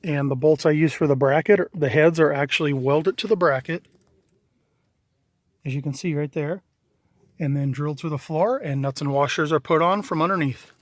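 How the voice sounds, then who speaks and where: A middle-aged man with a fairly high voice and a dry, close sound. He talks casually and matter-of-factly, like a plain-spoken hobbyist walking viewers through his own handiwork on camera. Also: normal speed, no echo. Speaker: DIY installer presenting own work. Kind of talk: casual video walkthrough narration. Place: in and around a parked vehicle.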